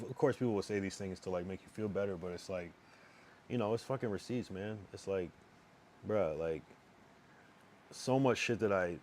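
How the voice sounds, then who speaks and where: A man's voice: fairly low pitch, a little mellow, a little hiss or hum. A young man with a strained, worn voice, chatting casually on a live stream and rambling with lots of restarts. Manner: rambling, halting, many restarts. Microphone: streaming microphone.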